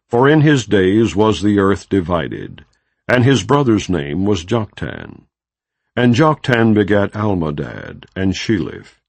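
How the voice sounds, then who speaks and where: A man reads scripture aloud in a slow, solemn, measured way, pausing between each name. His low voice has a gravelly edge and moves a lot in pitch. The recording is dry and close, with no room echo. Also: darkish tone. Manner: measured, solemn phrasing with long pauses between names. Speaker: scripture reader. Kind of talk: unhurried audiobook reading of scripture.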